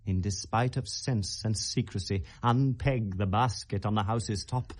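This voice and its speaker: Casting: anguished young Shakespearean prince, husky voice, RP English, impassioned and accusing, theatrical 1970s radio drama delivery. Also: male voice, lowish voice, measured pace. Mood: bitterly sardonic. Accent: British Received Pronunciation. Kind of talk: 1970s British classical radio drama acting, Shakespearean verse.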